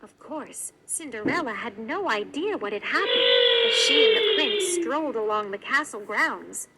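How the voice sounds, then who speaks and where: A woman reading a children's read-along story in an expressive narrating style. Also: on the high side, normal speed, very noisy. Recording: music behind the voice.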